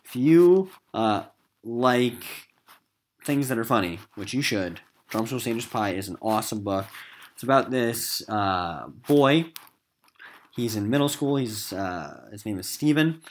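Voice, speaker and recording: A man's voice: mid-range voice, expressive, measured pace, slight background noise. A man with an American accent teaching a recorded literature lesson in an emphatic, informal classroom style.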